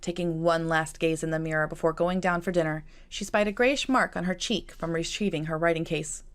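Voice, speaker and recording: A woman's voice: low voice, fairly even intonation, a little fast. A woman reading her own novel as scripted audiobook narration.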